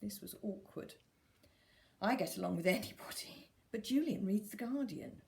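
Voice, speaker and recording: A woman reading a scripted first-person literary story in a dry, measured storytelling manner. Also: mid-range voice, gravelly, dark tone. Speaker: story reader.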